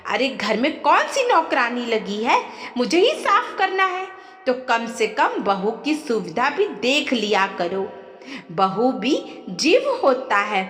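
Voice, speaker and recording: A woman's voice: fairly high pitch, huge pitch swings, takes it slowly, a bit bright, full character voice. A woman voicing an irritable, sarcastic, scolding daughter-in-law in a family audio story. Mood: angry scolding. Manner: nagging, sarcastic, grumbling. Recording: echoey, long reverb.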